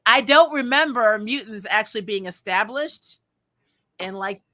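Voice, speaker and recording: Bright-voiced, animated woman chatting. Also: on the high side, unhurried, no room sound. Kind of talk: casual podcast chat, reading and reacting to comments.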